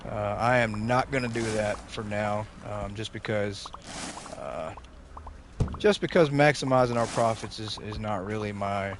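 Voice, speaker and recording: A man hosting a gaming channel, chatting casually over gameplay in a rambling, jokey way full of fillers, his voice going slightly hoarse. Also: lowish voice, measured pace. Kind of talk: casual let's-play commentary. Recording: noisy background under the voice.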